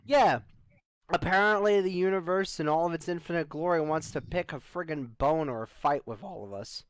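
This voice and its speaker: A man's voice: fairly high pitch, normal speed, clean tone, no echo, lightly acted. A man playing a wry spaceship adventurer in loose, improvised sci-fi roleplay, joking and stretching out his lead-ins. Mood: wry, explaining.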